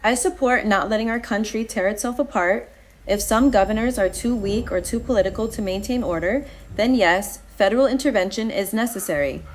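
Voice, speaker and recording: An actress as a female law-and-order caller in a radio drama. Her medium-pitched voice is firm and impatient, pressing her case. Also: brisk, slight background noise, lightly acted. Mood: firm, dismissive. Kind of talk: radio call-in drama acting.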